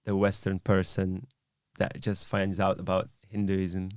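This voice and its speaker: A man's voice: low-pitched, completely flat delivery, a little slow, dry recording, clean studio sound. A man hosting a podcast, talking thoughtfully in a rambling, casual way with many fillers. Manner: thoughtful, rambling, lots of "you know". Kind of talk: casual chat.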